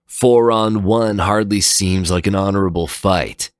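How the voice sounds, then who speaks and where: A man's voice: deep voice, a little slow, no room sound, clean studio sound, pitched above the performer's own voice. A man voicing an honorable veteran warrior, earnest and imploring, in a dramatic story reading. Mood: indignant. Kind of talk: dramatic fan fiction reading.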